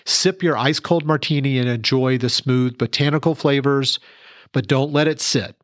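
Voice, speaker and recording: A man presents a scripted how-to segment for a podcast. His voice sits at a medium pitch, and the sound is close and dry, with no room around it. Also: fairly even intonation, normal speed, dry recording. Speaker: podcast cocktail segment reporter. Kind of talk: instructional scripted narration.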